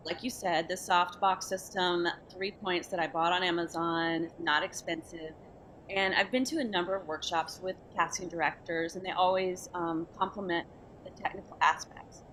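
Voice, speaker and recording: A woman's voice: fairly low pitch, fairly bright tone, slight background noise, rough recording. A woman politely and thoughtfully putting a conversational question to a panel. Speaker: actor audience member asking a question.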